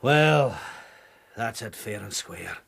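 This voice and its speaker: A man acting in a radio drama as a rough, sardonic whaling harpooner, gravelly and husky, with blunt sailor's talk. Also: fairly low pitch, expressive, slow, fairly bright tone, exaggerated voice. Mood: defiant, wry.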